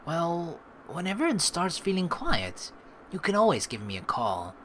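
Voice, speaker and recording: A woman gives chatty, playful commentary on a game. Her pitch swings widely as she rambles and reads aloud. Game music plays underneath. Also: very animated, unhurried, a bit bright, a little hiss or hum. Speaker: let's player reading and reacting to a game. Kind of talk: casual gameplay commentary.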